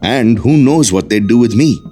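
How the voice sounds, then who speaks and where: A man playing a calm, cautious mentor in an audio drama, speaking in a measured, formal and polite way. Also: medium pitch, soft background music, close to an everyday voice. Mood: cautioning. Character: calm, cautious male mentor to two gifted teenagers.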